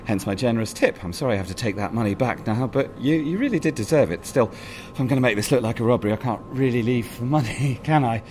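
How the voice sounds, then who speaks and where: Radio-play villain: male actor as a courteous, menacing killer, animated delivery, noisy rumbling track. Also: close to an everyday voice. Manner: cold, polite and deliberate. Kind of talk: audio drama acting. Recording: noisy recording with a low rumble.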